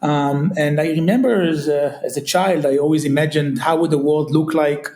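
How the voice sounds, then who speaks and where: An Israeli-accented man talks loosely through a podcast interview, his voice sitting a little higher than most men's. He gropes for phrasing and fills gaps with "kinda" and "you know". The sound is roomy and echoey. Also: fairly even intonation, moderate pace, darkish tone. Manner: searching, heavy on fillers like "kinda" and "you know". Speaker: guest, retail company CEO. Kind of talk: casual interview chat.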